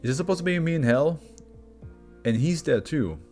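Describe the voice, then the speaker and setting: A man's voice: fairly high pitch, lively intonation, moderate pace, warm tone, faint music behind, voice pushed up. A man voicing a volatile condemned prisoner who is coming unravelled, speaking with agitated intensity in a story reading. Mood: panicked.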